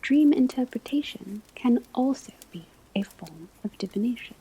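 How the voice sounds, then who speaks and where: A woman playing a patient, scholarly witch-academy teacher in an ASMR roleplay, lecturing in soft breathy whispers with formal, deliberate phrasing. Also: on the high side, lively intonation, full character voice, poor audio. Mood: instructive, measured.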